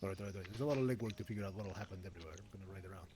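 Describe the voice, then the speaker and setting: A man's voice: sits low, racing, noisy background. A man roleplaying his character, thinking aloud in a restless way. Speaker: roleplay player.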